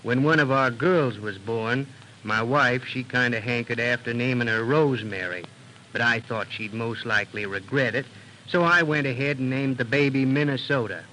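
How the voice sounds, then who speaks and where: Bright-voiced folksy family man played by a man. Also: mid-range voice, moderate pace, close to an everyday voice. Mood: folksy, amused. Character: folksy, proud rural Virginia family man, good-humored. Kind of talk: 1930s radio monologue. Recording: noisy 1930s radio recording.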